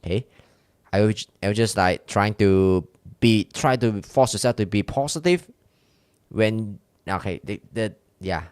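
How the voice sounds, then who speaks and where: A man's voice: fairly low pitch, unhurried, darkish tone, clean tone, dry recording, studio quality. A man with a Chinese accent, a streamer chatting casually with his viewers, rambling and candid with many restarts. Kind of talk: casual live-stream chat with chat.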